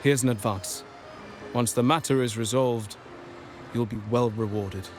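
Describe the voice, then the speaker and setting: A man voicing a courteous, formal nobleman from a merchant family in a game cutscene, speaking with smooth persuasion over game music. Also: medium pitch, steady tone, a little slow, natural voice. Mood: earnest.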